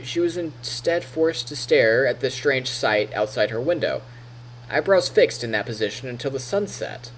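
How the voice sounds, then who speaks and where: A man's voice: mid-range voice, very animated, moderate pace, a little hiss or hum, low-quality recording. A man streaming, reading narration from a visual novel aloud and adding casual comments. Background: game music.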